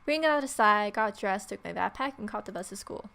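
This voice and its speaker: A woman's voice: medium pitch, lively intonation, fast talker, gravelly, bright, forceful tone. A woman reading story text aloud as a let's player, with irreverent, sarcastic asides.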